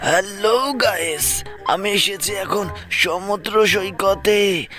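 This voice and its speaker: A man's voice: high voice, slow. A man voicing a cheeky, foul-mouthed cartoon prankster who talks in a crude, brash, boastful way. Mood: upbeat greeting. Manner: crude, brash, swears constantly. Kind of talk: comedic cartoon dubbing. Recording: loud music over the voice. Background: loud music.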